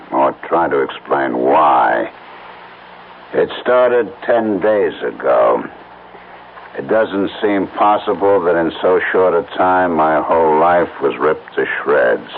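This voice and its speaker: A grave reader of a confession letter, low and unhurried. Each sentence is measured and heavy. The old broadcast sounds narrow and tinny, with faint hiss. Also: male voice, gravelly, full character voice. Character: man reading a suicide letter, grim. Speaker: actor in a radio drama. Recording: phone-like old radio broadcast.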